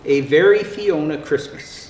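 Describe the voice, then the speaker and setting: Man playing jolly, high-pitched Santa reading stories. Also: a little mellow, natural voice. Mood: jolly, storytelling. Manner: theatrical, unhurried, jolly. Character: jolly old Santa Claus greeting children. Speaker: storyteller reading picture books aloud. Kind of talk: expressive children's storybook read-aloud. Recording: roomy echo, faint background noise.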